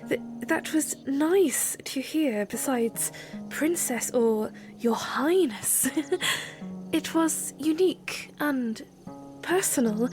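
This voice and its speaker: A woman playing a playful, earnest young former princess in a fan audio drama, with formal, expressive phrasing. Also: sits high. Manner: expressive, formal wording, teasing, hesitant when flustered.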